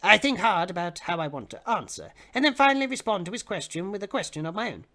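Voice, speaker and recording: A man giving a theatrical, expressive dramatic reading of fiction as the narrator. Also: high-pitched, quick pace. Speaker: dramatic reader.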